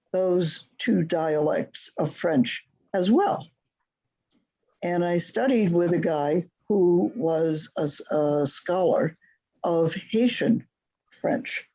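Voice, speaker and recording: A teacher speaks very slowly and deliberately, pausing often while leading a class discussion. The voice is mellow and soft-edged, and the sound is muffled and lo-fi like a video call. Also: medium pitch, breathy edge. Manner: slow, deliberate, with long pauses.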